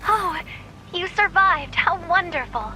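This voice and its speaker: A woman's voice: very high-pitched, animated, slow, bright, forceful tone, exaggerated voice, rough recording. A woman voicing a kindly, courteous robot village leader in a video game, speaking politely and formally. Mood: kindly, welcoming. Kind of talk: English video game voice acting.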